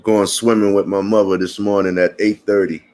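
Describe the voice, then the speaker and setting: A man, chatting casually to passersby and viewers in a relaxed morning vlog, calling everyone brother and man. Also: fairly low pitch, moderate pace. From Puerto Rican family. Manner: laid-back, slangy, calls people brother and man. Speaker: vlogger.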